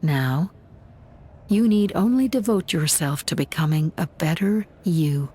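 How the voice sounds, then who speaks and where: A woman playing a serene, loving guardian spirit in a video game, speaking softly and tenderly like a mother to her children. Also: fairly low pitch, expressive, slight background noise. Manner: tender, measured, reassuring. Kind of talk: dramatic video game acting.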